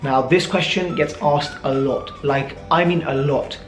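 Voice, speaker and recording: A man's voice: a little high, steady tone, a little slow, echoey room, over music. A young adult man with a British accent, chatting casually and informally as he answers viewer questions in a vlog. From born and raised in the UK, teenage years in Dubai. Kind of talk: casual Q&A chat to camera.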